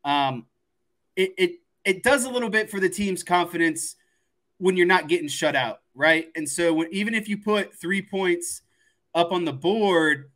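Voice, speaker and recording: A man's voice: a little high, bright, forceful tone. A man giving casual sports commentary as a panelist, explaining his points conversationally and repeating words for emphasis.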